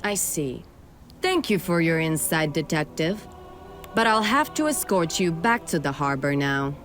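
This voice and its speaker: A woman's voice: low voice, animated, a little slow, a bit bright, soft background music. A woman playing a proud, commanding night elf warrior in a fantasy radio play, speaking formally and curtly. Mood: reserved.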